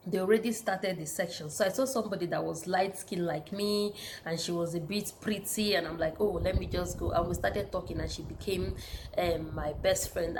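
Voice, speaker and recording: A woman's voice: fairly low pitch, a little hiss or hum. A Nigerian woman in her thirties telling personal stories in a casual sit-down vlog, chatty and full of fillers. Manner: chatty, storytelling with frequent fillers. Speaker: vlogger.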